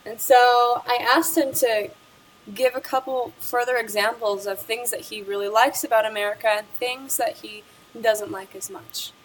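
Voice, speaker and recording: A woman's voice: fairly high pitch, fairly even intonation, moderate pace, poor audio. A young woman presenting a school project interview, reading a prepared script about the person she talked with. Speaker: student presenter.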